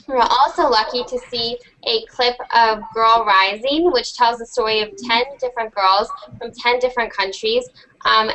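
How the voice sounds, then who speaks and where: A woman's voice: on the high side, a bit bright, slight background noise, poor audio. A young American woman in her teens, enthusiastically hosting an online panel with a prepared introduction. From United States. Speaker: host.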